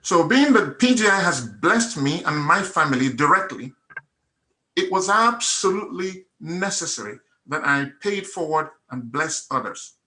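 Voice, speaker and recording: Guy, middle-aged, bright voice, earnest testimony, hammers the same phrase over and over. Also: a little high, lively intonation, unhurried, rough recording. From Nigeria. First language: Igbo. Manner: earnest, emphatic, repeats phrases for effect. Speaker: testimonial speaker. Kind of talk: personal testimony at a meetup.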